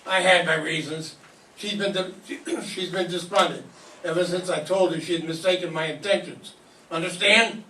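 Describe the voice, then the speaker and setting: An older man voicing a suave, condescending cannery boss with a bright, forceful, rather high voice. He is heard from afar in a roomy classroom with faint hiss. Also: fairly even intonation, moderate pace, far from the mic. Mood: smug. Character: smooth, smug middle-aged male cannery boss. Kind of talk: 1940s radio acting.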